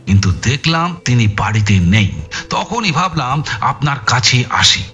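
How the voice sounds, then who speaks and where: A bright-voiced, weary Indian detective. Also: male voice, a little high. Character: exhausted, frustrated male police detective recounting a case. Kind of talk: dramatized audio thriller acting.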